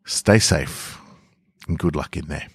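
Deep-voiced man narrating a gentle story. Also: husky, dry recording, studio quality. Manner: gentle, unhurried storytelling; loose with fillers when speaking off script. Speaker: podcast host and narrator.

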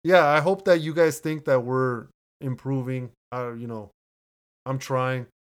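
A man with a deep voice, co-hosting a casual podcast and reading listener reviews in an easygoing way, laughing often and restarting his words. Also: fairly even intonation, unhurried, smooth. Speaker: podcast co-host. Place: home room, recording remotely.

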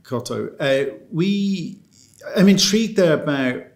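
Gravelly-voiced male interviewer. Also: fairly high pitch, lively intonation, takes it slowly. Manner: self-deprecating, stammers when starting a question. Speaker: interviewer, host. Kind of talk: interview questions.

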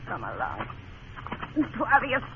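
A hard, commanding frontier matron with a high, creaky voice. She speaks in curt, imperious phrases in old radio drama style. The recording is thin and narrow. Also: huge pitch swings, takes it slowly, sharp tone, a little hiss or hum, exaggerated voice. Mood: grim, commanding. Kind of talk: 1950s radio drama acting.

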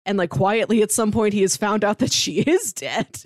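A woman's voice: mid-range voice, normal speed, no echo, clean studio sound. Chatty woman recapping a TV season. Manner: chatty, analytical, laughs often. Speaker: podcast co-host. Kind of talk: casual TV recap chat.

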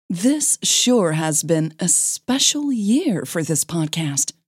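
Husky woman podcast host telling stories, slow n mellow, bit gravelly. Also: medium pitch, no room sound, clean studio sound. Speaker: podcast host and audio fiction narrator. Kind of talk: podcast announcements and story narration.

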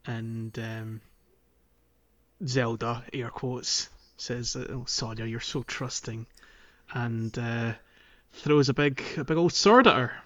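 A man co-hosting a casual gaming podcast, recapping in a halting, stammering way with frequent false starts. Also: medium pitch, fairly bright tone. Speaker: podcast co-host. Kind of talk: casual game recap chat.

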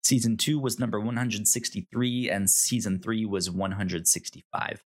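A man co-hosting a review podcast in casual chat, with a halting, thinking-aloud delivery. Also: lowish voice, steady tone. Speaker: podcast co-host.

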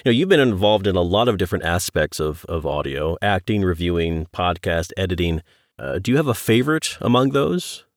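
A man's voice: deep voice, animated, brisk, no room sound, studio quality. A man hosting a podcast interview, putting questions and observations to a guest in a conversational way.

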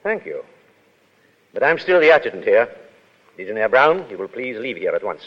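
Pompous officer, echoing old radio. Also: male voice, lowish voice, sing-song, normal speed. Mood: icy, courteous. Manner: formal, imperious, clipped courtesy. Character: pompous, jealous adjutant of the Foreign Legion. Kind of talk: 1930s radio drama acting. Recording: dull, echoey 1930s radio broadcast.

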